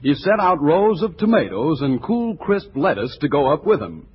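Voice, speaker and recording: An American man announcing and pitching products in a folksy 1940s radio style. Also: sing-song, normal speed, breathy edge. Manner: folksy salesmanship. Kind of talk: 1940s radio advertising read. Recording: dull 1940s radio recording with faint music.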